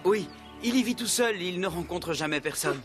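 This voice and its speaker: Actor doing an earnest young cartoon hero, french dub, loud soundtrack behind. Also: medium pitch, expressive, a little fast, music in the background, close to an everyday voice. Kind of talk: French-dubbed cartoon acting.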